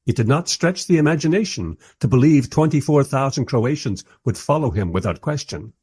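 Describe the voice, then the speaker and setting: A man's voice: mid-range voice, lively intonation, brisk, darkish tone, husky. A man playing a reflective documentary maker who narrates a radio play in a wry, literary, musing way.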